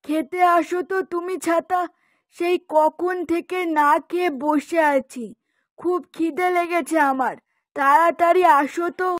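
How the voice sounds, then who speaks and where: A woman's voice: extremely high pitch, steady tone, measured pace, dry recording, clean studio sound. A woman playing a nagging, bossy village housewife in a moral-story cartoon, with a commanding manner. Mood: scolding, impatient. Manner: scolding, commanding.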